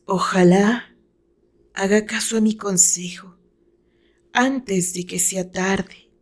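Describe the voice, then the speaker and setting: A woman voicing a fragile, tormented, tearful woman, bitter and resigned, with halting, breathy theatrical delivery in an audio novel. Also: mid-range voice. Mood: resigned, pleading. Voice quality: thin, whispery voice at moments.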